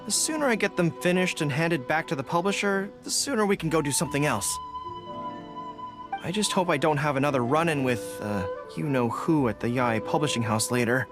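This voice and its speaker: An actor playing an earnest, loyal young general in an anime video game, formal and a little flustered. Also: male voice, a little high, lively intonation, brisk, natural voice. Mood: musing. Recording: game music behind the voice.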